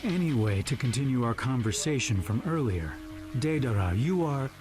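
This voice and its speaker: An actor playing a cool, calculating villain in a video game dub, formal and detached in manner. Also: male voice, mid-range voice, animated, normal speed, close to an everyday voice. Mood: calm, businesslike. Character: cool, calculating male villain, polite and composed. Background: dramatic game music.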